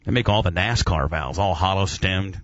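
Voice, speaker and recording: A man's voice: deep voice, moderate pace, fairly bright tone, dry recording. A man hosting a casual radio show about auto racing, talking in a slangy, informal and opinionated way.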